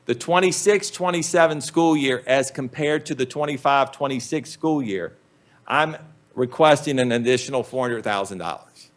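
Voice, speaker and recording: A man, a school superintendent, presenting budget figures to a county board in a plain, measured way with frequent ums. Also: medium pitch. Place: public meeting room.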